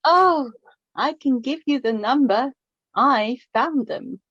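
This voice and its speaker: Casting: actress as chatty, reflective widow in middle age, slightly high voice with some huskiness, natural radio-play dialogue. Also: female voice, slow pace, a bit bright, breathy edge, no echo, highs cut off, full character voice. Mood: playful. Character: middle-aged widow, chatty, wistful mother of the bride.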